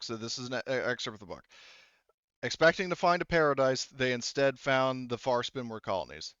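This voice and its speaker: A man running a tabletop game as game master, explaining things casually in a halting, repetitive way. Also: medium pitch, fairly bright tone, clean tone, dry recording, lo-fi sound. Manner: halting, explanatory, repeats himself.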